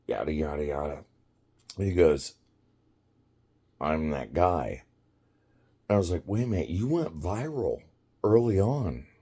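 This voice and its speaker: A man in his fifties telling a casual story in a rambling, halting way, often laughing as he talks. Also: low voice, sing-song, dark tone, husky. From United States. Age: 50s.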